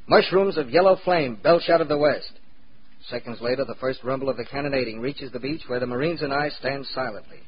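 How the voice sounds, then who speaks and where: A man's voice: medium pitch, natural voice. An actor playing a wry, plucky American war correspondent, narrating his experiences in a dramatic 1940s radio style. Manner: dramatic, measured, wry understatement. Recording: muffled, noisy 1940s radio recording.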